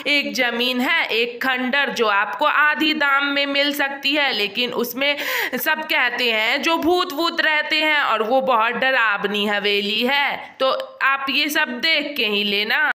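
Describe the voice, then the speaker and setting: A woman's voice: sits high, slow, bright, forceful tone, exaggerated voice. Cautious builder man for a cartoon, played by a woman: apologetic, warning tone, roomy sound. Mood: apologetic, wary. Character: cautious male builder warning about haunted property. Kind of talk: cartoon story dialogue. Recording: roomy echo.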